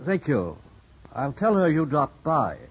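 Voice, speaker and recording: Stern older gentleman, highish voice. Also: sing-song, unhurried, heavy background noise. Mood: concerned, reserved. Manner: sober, admonishing. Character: cautious, stern older English family friend and guardian. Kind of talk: 1950s radio drama acting. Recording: dull vintage radio recording with music behind.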